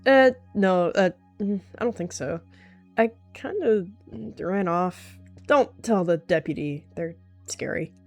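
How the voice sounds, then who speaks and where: Young wild cat voiced by a woman, informal and hesitant, animal fantasy roleplay, soft music bed. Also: on the low side. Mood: awkward, sheepish. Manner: halting, informal, awkward.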